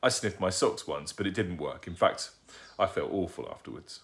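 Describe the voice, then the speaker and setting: A man's voice: low voice, expressive, moderate pace. A man voicing a cheeky, joking father who plays innocent in an audiobook. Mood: mock innocent. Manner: teasing, mock-innocent.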